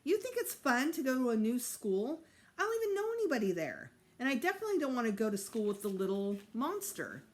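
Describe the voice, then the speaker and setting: Woman acting a storybook preteen boy, indignant, sulky, emphatic, very animated intonation. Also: on the high side, fairly quick, pitched above the performer's own voice. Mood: angry, defiant. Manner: emphatic, exasperated complaining.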